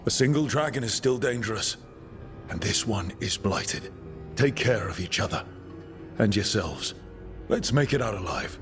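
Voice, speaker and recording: An actor playing a steady, resolute team leader in a fantasy video game, measured and commanding. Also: male voice, lowish voice. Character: steady, resolute male hero leading a team. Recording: music behind the voice.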